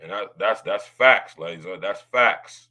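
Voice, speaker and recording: Loud deep-voiced man hosting a podcast. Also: a little slow. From United States. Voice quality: deep baritone. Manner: loud, drawling slang, repeats tag questions. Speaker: podcast host. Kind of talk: casual podcast monologue.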